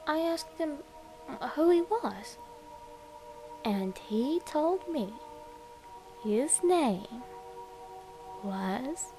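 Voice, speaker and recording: A woman voicing a deranged, lovestruck young woman who tells her morbid horror story in a breathy, dramatic way. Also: high voice, lively intonation, mellow, faint music behind, full character voice, low-quality recording. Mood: dreamy, breathy. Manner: demented, breathy, dramatic, slow with whispered words. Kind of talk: first-person horror story narration.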